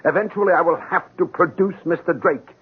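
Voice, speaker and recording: Stuffy nervous exec in old radio drama, formal, raspy, tinny narrow sound. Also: male voice, sits high, brisk. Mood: worried. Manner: formal, clipped, officious. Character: smooth-talking, uneasy executive accomplice and lover. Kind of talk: 1970s radio drama acting. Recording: muffled, phone-like old radio recording.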